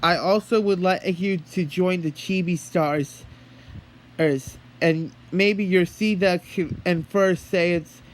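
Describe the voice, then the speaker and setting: A man talking in an earnest, halting way, as if holding a one-sided phone conversation. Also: a little high, a little hiss or hum, rough recording. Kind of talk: one-sided pretend phone call, rambling monologue.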